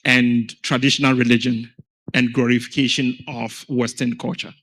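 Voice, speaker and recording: A man from Ghana speaks as a guest on a conference panel. He tells his story earnestly and presses his points with emphasis. His voice sits at an ordinary middle pitch. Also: level tone, moderate pace, a bit bright. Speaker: panel guest, founder of an education organization. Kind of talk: panel conversation.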